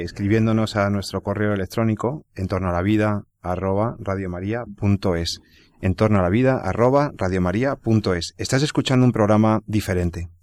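A man hosting a radio program from a studio, formal and measured. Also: lowish voice, dry recording. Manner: formal, measured presenter with some restarts. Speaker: radio host.

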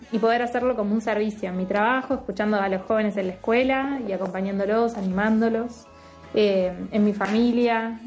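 A woman's voice: medium pitch, a little mellow. A woman, sharing a thoughtful, hesitant personal message. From Argentina. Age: 30s. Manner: thoughtful, hesitant, many fillers.

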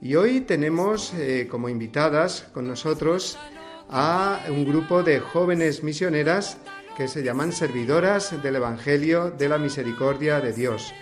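A man's voice: on the high side, soft background music. Spanish man hosting a Sunday radio show. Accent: Spain Spanish. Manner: measured, warm welcoming tone with frequent "eh" fillers. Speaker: radio host.

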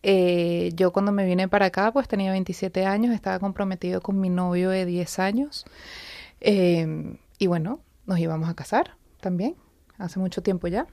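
A woman's voice: mid-range voice, steady tone, normal speed, warm tone, no echo. A woman, a radio guest telling a personal story in an earnest, flowing way, speaking in a studio. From Venezuela. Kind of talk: personal testimony in a radio interview.

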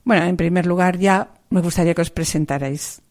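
Woman host, mellow voice, formal devout hosting, measured delivery. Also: on the low side.

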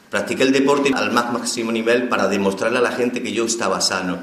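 A man's voice: lowish voice, a bit bright, echoey room, slight background noise. A man from Spain telling his personal testimony as an inmate, heartfelt and emotional.

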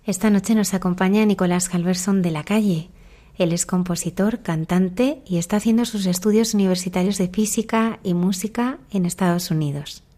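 A woman's voice: mid-range voice, moderate pace, mellow. A woman hosting an interview, with prepared introductions and short questions. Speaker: interviewer, programme host.

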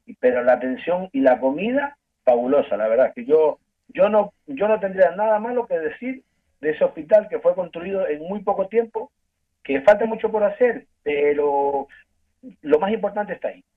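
A man from Ecuador with an Ecuadorian accent, earnestly telling his story as a guest in a phone-in interview, halting and restarting often. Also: high voice, lively intonation. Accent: Ecuadorian Spanish. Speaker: guest giving a testimony. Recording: tinny phone line.

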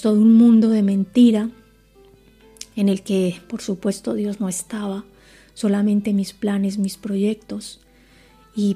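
A woman's voice: mid-range voice, dark tone, breathy edge. A woman in her forties from Colombia giving a personal faith testimony in an earnest, reflective way.

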